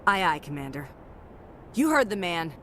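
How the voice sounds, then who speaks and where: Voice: medium pitch, big pitch swings, moderate pace, fairly bright tone, slight background noise. An actor voicing a battle-weary, gritty soldier lieutenant in a video game, with blunt, clipped military talk. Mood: urgent, resolute.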